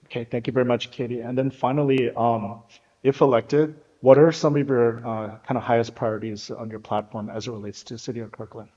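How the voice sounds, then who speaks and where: Mellow-voiced male interview host. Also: medium pitch, fairly even intonation, fairly quick. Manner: hesitant, many uhs and maybes. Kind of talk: community interview hosting. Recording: dull audio with long hall reverb.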